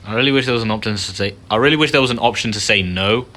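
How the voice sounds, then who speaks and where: A man's voice: fairly low pitch, racing, bright, forceful tone. A man hosting a gaming video with casual, conversational playthrough commentary. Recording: game music behind voice.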